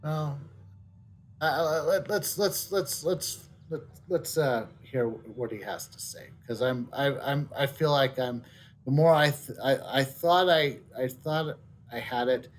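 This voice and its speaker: A man giving casual playthrough commentary as a game streamer, thinking aloud with halting repetitions. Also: mid-range voice.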